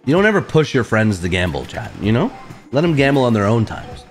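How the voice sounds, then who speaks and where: A young man with a sore throat, hosting a live slot stream from his home room, swearing casually and talking to chat on a boom mic. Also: sing-song, brisk, soft background music. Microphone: boom studio mic with headphones.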